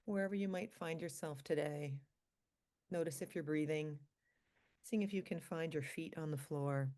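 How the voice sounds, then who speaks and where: A woman leading a guided meditation for a group, speaking calmly and slowly in short, spaced phrases. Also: low-pitched. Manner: calm, slow, pausing between short phrases. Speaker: meditation leader, physician.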